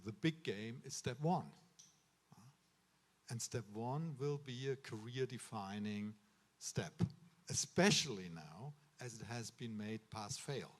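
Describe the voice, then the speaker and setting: German guy giving a ceremony speech, earnest advice, middle pitch, lots of uh and restarts. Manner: earnest, advising, with frequent uh fillers and restarts. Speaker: medical school official addressing students. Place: ceremony hall.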